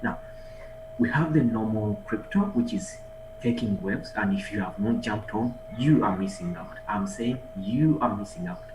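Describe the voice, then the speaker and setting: A man, speaking energetically over a video call. He urges listeners on with repeated calls. The room sounds echoey, with clear music playing behind him. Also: fairly low pitch, expressive, normal speed. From Uganda. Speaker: guest speaker, online marketer and trader. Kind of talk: motivational conference presentation.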